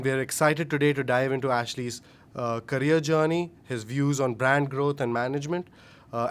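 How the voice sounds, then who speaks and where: A young man hosting a student podcast, reading a formal guest introduction with many filler sounds. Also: mid-range voice.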